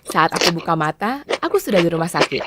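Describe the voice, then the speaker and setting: A woman's voice: fairly low pitch, big pitch swings, fast. A woman narrating a scripted first-person story in a dramatic storytelling style. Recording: clearly noisy background with clicks.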